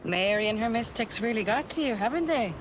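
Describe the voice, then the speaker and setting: A woman's voice: on the high side, expressive, a little fast, close to an everyday voice. A woman acting a seasoned, loyal pirate shipmate in a video game, talking in a frank, matter-of-fact way. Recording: clear ambient noise behind the voice.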